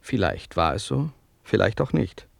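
Faultfinding listener: low, creaky, critical and challenging, dry studio radio drama. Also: male voice, sing-song, normal speed, no echo, full character voice. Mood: skeptical. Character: skeptical, faultfinding listener interrupting the storyteller. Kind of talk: German radio play acting.

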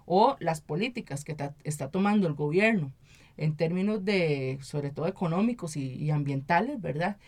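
A woman's voice: low-pitched, animated, moderate pace, darkish tone. An earnest woman with a Costa Rican accent speaking conversationally as an interview guest, often adding tag questions to seek agreement. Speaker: activist and municipal council member, interview guest.

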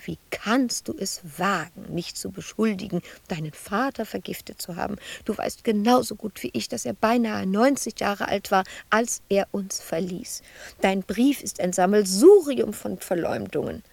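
An actress playing an embittered, lonely middle-aged woman sculptor in a radio drama monologue, husky and intimate, often slipping into a whisper. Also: medium pitch, no echo. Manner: brooding, intimate, shifting between whisper and outburst.

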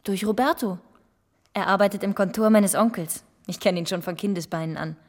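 An actress playing an earnest, wary young gentlewoman in a German radio drama, speaking with formal courtesy. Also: mid-range voice, fairly quick, close to an everyday voice. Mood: wary, hopeful.

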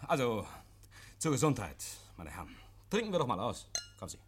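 An actor playing a nervous, guilty man in a 1950s radio play, feigning jovial innocence, stammering and repeating himself, hushed then agitated. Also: medium pitch, wildly swooping pitch, a little fast, slight background noise. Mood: forced joviality. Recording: vintage 1950s radio recording.

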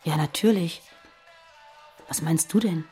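Guarded fugitive woman, mellow low voice. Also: measured pace, soft background music. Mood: matter-of-fact. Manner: guarded, earnest, hesitant. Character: guarded, frightened fugitive terrorist woman living under false names. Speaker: radio drama actor. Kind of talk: naturalistic German radio play dialogue.

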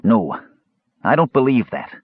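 A man's voice: medium pitch, very animated, a little slow, breathy edge, dry recording, narrow, tinny audio, pitched above the performer's own voice. A man voicing a frail, bitter mage with a cold, sarcastic, demanding manner in a fantasy audiobook. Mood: demanding, suspicious. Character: frail, bitter, sharp-tongued male mage.

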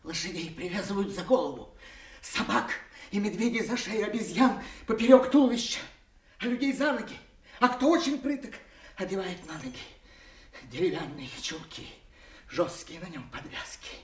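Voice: medium pitch, big pitch swings, normal speed, natural voice. A performer playing a mocking, riddling but loyal court fool in a classical audio play, with a teasing, sing-song manner. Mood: mocking glee. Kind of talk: classical audio play, rhymed jests and songs. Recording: echoey, distant from the microphone.

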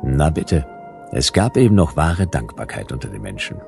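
A man's voice: rumbling low, sing-song, moderate pace, vocal fry, a little mellow, soft background music, exaggerated voice. A man playing a stubborn hard-boiled detective, narrating his case in a dry, laconic, wry way in a radio play. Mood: wry, satisfied.

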